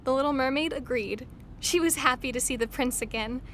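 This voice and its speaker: A woman's voice: sits high, fairly quick, fairly bright tone, slight background noise. A woman narrator reading a scripted fairy tale for an audio drama. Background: music.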